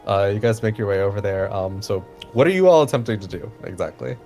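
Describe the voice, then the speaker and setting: A man's voice: on the low side, quick pace, creaky. A man acting as game master, improvising narration and talking casually with players in a tabletop roleplaying game. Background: quiet music.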